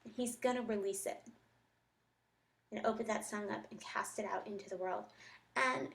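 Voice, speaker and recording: A woman's voice: medium pitch, moderate pace, creaky. A woman teacher giving a think-aloud reading lesson, reading and commenting reflectively, talking her thoughts through step by step.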